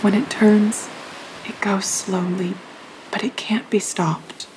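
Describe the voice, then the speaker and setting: Over a clear background hiss, a woman acts a gravely ill young sister in a period audio play. She is soft-spoken and halting, with a middle-pitched voice. She sounds selfless and resigned, speaking with quiet earnestness. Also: steady tone, lightly acted. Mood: resigned, wistful. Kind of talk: audio drama acting of a period novel.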